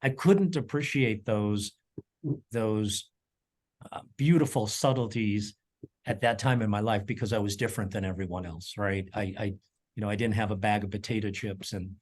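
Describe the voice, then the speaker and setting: Middle-aged man, lowish voice, chef interview guest, rambling, lots of ums and restarts. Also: fairly even intonation, normal speed. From United States (Colorado). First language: German. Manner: rambling, warm storytelling with frequent ums and repeated words. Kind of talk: casual interview storytelling.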